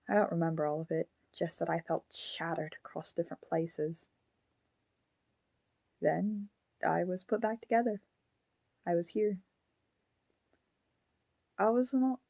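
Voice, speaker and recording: A woman voicing a sad, clinging haunted puppet with a young boy's ghost in it, in a halting, earnest fan audio drama performance. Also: fairly low pitch, mellow, dry recording.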